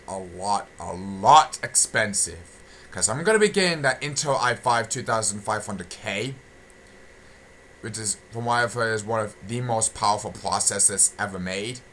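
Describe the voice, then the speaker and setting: A man's voice: on the low side, a bit bright, a little hiss or hum. A young man from Britain talking through a rambling, repetitive audio log, full of restarts and fillers. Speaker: channel host.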